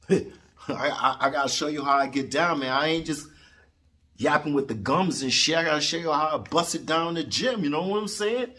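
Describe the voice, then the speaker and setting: Loud swearing man in his forties. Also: a little high, normal speed. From New York. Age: 40s. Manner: loud, boastful, swearing, streetwise slang. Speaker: boxing vlogger. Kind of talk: casual profane vlog rant. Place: home basement gym.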